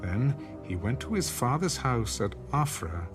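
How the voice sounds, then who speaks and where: A man narrating scripture from a script in a measured, formal storytelling manner. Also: medium pitch, very animated, over music. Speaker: audio Bible narrator.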